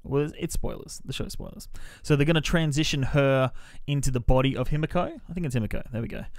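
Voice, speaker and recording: A man hosting a podcast, explaining things in a halting, informal way as part of a casual film review chat. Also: mid-range voice, dry recording, clean studio sound.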